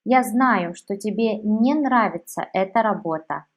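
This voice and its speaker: A woman teaching a language lesson, saying each word separately with long pauses. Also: mid-range voice, lively intonation. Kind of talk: slow, deliberate language lesson.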